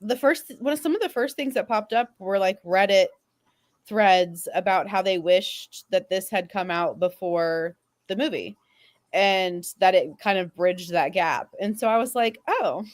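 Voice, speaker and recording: A woman, young to middle-aged, speaks as a fan panelist. She tells casual personal stories at a slow, unhurried pace, with a dry, close sound. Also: mid-range voice, no room sound.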